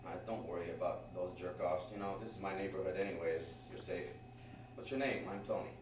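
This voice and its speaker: A man playing a tough, protective young mercenary at an informal table read, reading casually and a little haltingly. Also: low-pitched, natural voice. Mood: reassuring. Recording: echoey room, distant mic, noisy background.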